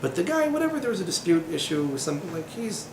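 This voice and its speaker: Guy in his 30s giving a loose class, gravelly voice, jokey asides, echoey room with some noise. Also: high-pitched, sing-song, fast. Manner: chatty, digressive, sardonic humor, frequent fillers. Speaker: rabbi teaching a class. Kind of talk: informal lecture with asides and jokes. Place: small indoor room.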